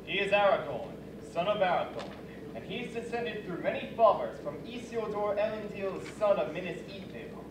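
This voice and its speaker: A man playing a commanding, grave elf lord in a staged fantasy reading, loud and declamatory. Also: sits high, expressive, normal speed. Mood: grave, declaring. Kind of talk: staged reader's theater performance. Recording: echoey distant stage, noisy.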